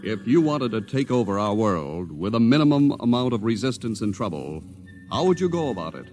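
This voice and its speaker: A man announcing in a deliberate, portentous 1950s radio narration style. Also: fairly low pitch, sing-song. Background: music.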